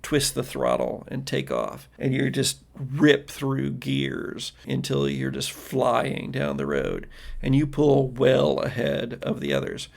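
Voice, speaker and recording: A man works as game master, narrating an improvised tabletop story. His voice is heavy with fry and his pace is slow. He sounds conversational and wry, often halting as he builds the scene. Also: mid-range voice, lively intonation.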